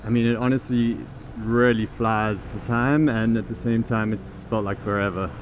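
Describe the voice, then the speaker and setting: A man's voice: lowish voice, normal speed. A man talking casually and thinking aloud as he rides a motorbike through city traffic outdoors. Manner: relaxed, chatty, thinking aloud with restarts. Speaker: vlogger and teaching program founder. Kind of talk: casual talk to camera while riding. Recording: traffic noise behind.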